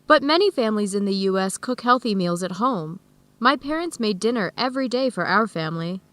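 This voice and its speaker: An actress playing a friendly, even-tempered American woman who explains things in a measured way in an educational radio drama. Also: medium pitch, no echo, lightly acted. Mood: easygoing. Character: friendly American woman hosting Ethiopian friends, easygoing, tolerant. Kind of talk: educational radio drama dialogue, clearly worded for learners.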